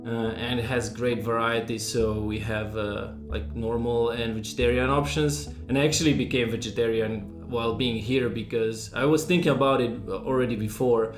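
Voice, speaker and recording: A man from Slovenia chats easily about his work, with a mid-pitched voice and the odd filler. The room echoes, and music plays softly beneath. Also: moderate pace, few pauses. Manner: relaxed, chatty, with fillers. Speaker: pixel artist and game developer. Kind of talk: casual interview answers. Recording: roomy sound with music underneath.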